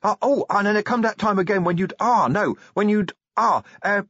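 A man's voice: sits high, moderate pace, a bit bright, no room sound, muffled recording, pitched above the performer's own voice. Man voicing a dim, nervous, rambling troll. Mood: rambling, dazed. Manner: hesitant, rambling, ungrammatical speech. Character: stick-thin, dim-witted, drug-addled young male troll.

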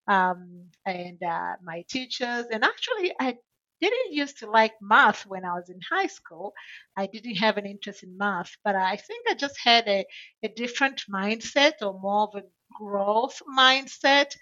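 A middle-aged woman from Tanzania, a podcast guest telling her story in a halting way with many fillers and restarts. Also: mid-range voice, a bit bright, no room sound, highs cut off. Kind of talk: conversational interview answer.

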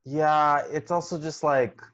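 A man's voice: a little high, steady tone, a bit bright, lo-fi sound. A man co-hosting a podcast, chatting casually and joking playfully. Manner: joking, playful, quick asides. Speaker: podcast co-host. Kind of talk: casual chat.

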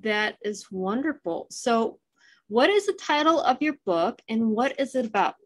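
A woman hosting a podcast interview, chatty and quick to laugh. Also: on the high side, big pitch swings. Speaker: podcast host. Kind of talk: casual interview chat.

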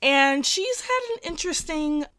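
A woman's voice: high voice, expressive, measured pace, bright, forceful tone, dry recording. Woman, podcast host, bubbly, casual chat, dry sound. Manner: bubbly, chatty, with laughs and fillers.

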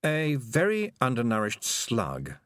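A man voicing a grumpy, self-important talking toad with a deadpan manner in an audiobook. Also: medium pitch, measured pace, dry recording, clean studio sound. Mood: dry, deadpan. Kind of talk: audiobook character acting.

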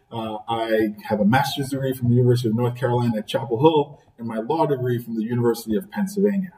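Earnest middle-aged man, mellow voice. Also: mid-range voice. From Trinidad and Tobago. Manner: earnest, deliberate, repeats key phrases. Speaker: college president, podcast guest. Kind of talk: measured interview answers. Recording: voice picked up from a distance.

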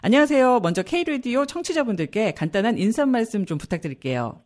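Lively, polite radio interviewer. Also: mid-range voice, brisk. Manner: polite, smooth broadcast delivery.

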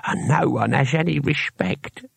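Male reader as old warrior hero, toothless mumble, husky rasp, sardonic, colloquial, grumbling, storybook character. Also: medium pitch, measured pace, dry recording, full character voice. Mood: weary, muttering. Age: older.

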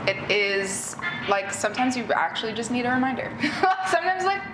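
A woman guest fashion designer on a casual podcast, chatty and agreeable, with quick interjections. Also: fairly high pitch, big pitch swings, echoey room, very noisy.

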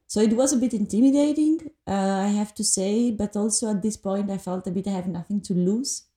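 Mellow-voiced woman. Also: medium pitch. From Greece. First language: Greek. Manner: thoughtful, hesitant, many pauses and fillers. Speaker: podcast guest. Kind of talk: reflective conversation. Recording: echoey room.

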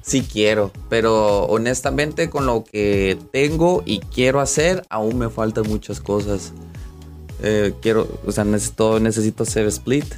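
A man's voice: lowish voice, a little slow, darkish tone, faint music behind. A man with a northern Mexican accent chatting casually as an interview guest, a comedian who often hesitates and searches for words. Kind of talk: casual chat.

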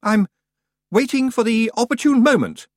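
A man plays a young boy hero in a storybook reading. The voice is lifted high and very animated, earnest and flustered, a touch haughty as he strains to sound brave. The recording is dry. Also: moderate pace, no echo, exaggerated voice.

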